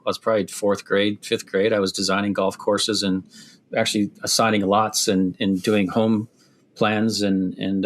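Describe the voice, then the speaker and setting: A middle-aged man from Wisconsin with a Midwestern accent softened by years in Canada, answering interview questions thoughtfully with many restarts. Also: low-pitched. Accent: Midwestern American, softened by years in Canada. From Wisconsin, USA. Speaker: construction executive guest.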